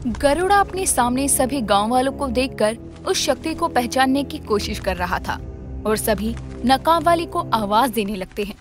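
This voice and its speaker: High-voiced female story narrator. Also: expressive, normal speed, over music. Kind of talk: dramatic scripted story narration.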